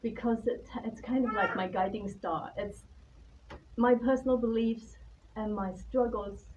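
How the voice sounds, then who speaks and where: A woman speaks to a gathering about herself and her work, hesitant and self-conscious but candid. Her voice is mellow and relaxed in tone. The recording is dull and distant, with faint background noise. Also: fairly high pitch, unhurried. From Taiwan. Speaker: artist-in-residence guest speaker. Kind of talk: informal spoken presentation about own life and work. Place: live talk at an art venue.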